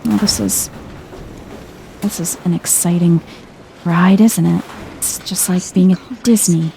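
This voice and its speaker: Casting: woman, soft-spoken game streamer, mellow with vocal fry, low-key and wry, some background noise. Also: unhurried, low-quality recording. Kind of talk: soft-spoken ASMR let's play commentary.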